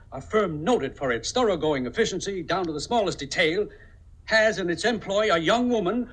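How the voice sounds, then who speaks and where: An actor playing a fussy, pompous senior partner in a firm, formal and deliberate, in 1950s radio comedy style. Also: male voice, high voice, a bit bright, breathy edge, full character voice, rough recording.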